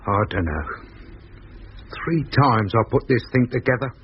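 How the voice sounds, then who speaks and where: An actor playing an anxious, chirpy working-class radio operator with a London Cockney accent in a 1950s radio drama, talking in a plain colloquial way. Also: male voice, medium pitch, a little slow, lightly acted. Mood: worried, downcast. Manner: colloquial, plain-spoken, expressive. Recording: dull, noisy 1950s radio recording.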